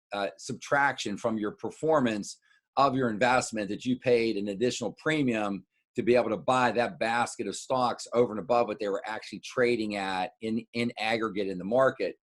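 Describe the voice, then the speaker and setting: A middle-aged American man, a wealth adviser, gives an informal market recap to clients, halting and repeating words as he adds explanatory asides. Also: medium pitch.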